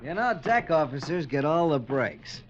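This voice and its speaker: A cheeky radio operator on a ship in a vintage radio play, with a lively, animated voice and a breezy, wisecracking manner. The lo-fi recording has clear noise and clicks behind him. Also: male voice, on the high side, normal speed. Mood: joking. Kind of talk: 1940s radio acting.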